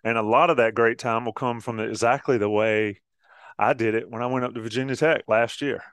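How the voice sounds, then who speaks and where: Man co-host, smooth mid-range voice, dry close sound, easygoing and chatty. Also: mid-range voice, steady tone, brisk, fairly bright tone, dry recording. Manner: chatty and easygoing, laughs often. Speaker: co-host of a college football pregame show. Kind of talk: casual promo chat.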